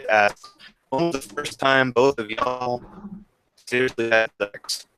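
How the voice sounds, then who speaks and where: A man in his twenties hosting a podcast, asking questions in a relaxed, laid-back way. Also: medium pitch, slow pace, soft background music, low-quality recording. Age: 20s. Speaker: podcast host. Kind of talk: casual podcast chat.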